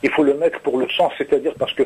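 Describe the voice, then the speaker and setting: An older man, a singer-songwriter whose first language is Kabyle, talking in a halting way with many repeated words in a radio interview. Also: medium pitch, fairly even intonation, poor audio. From Normandy, France.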